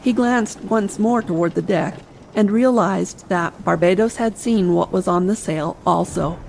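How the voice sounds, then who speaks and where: A woman narrates a classic adventure story in a scripted, dramatic style. Her mid-pitched voice has noticeable fry. Faint sea ambience runs behind her. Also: measured pace, slight background noise, rough recording. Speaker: audiobook narrator. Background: soft sea ambience.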